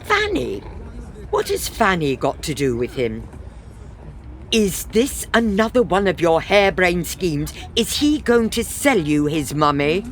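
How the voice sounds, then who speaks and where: A woman's voice: lowish voice, big pitch swings, unhurried, a little hiss or hum. A woman acting a sensible, dryly exasperated middle-aged wife in a comic period farce. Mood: skeptical.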